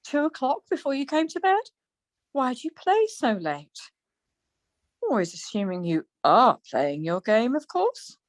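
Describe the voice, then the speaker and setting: An actress playing a dry, sarcastic, teasing middle-aged wife in an amateur radio play. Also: female voice, on the high side, very animated, measured pace, dry recording.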